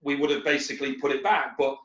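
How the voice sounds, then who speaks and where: A man's voice: mid-range voice, fairly even intonation, fast talker, fairly bright tone, reverberant, dull audio. A man, a recruitment company leader, talking on a webinar panel in a rambling, anecdotal way with lots of fillers. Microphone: video call.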